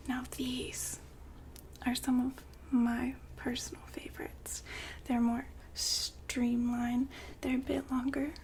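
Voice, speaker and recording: A woman whispering softly and breathily in an ASMR roleplay, playing a gentle, attentive shop consultant. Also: sits high. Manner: gentle, attentive customer-service patter. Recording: faint background noise and ambience.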